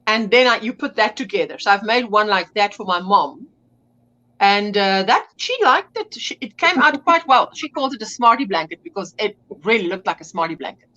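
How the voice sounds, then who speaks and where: A woman's voice: medium pitch, animated, brisk, sharp tone, highs cut off. A woman with an Afrikaans accent, a native Afrikaans speaker, chatting as a guest on a livestream and often searching for the right English word. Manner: chatty, searches for words and restarts. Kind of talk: casual livestream chat.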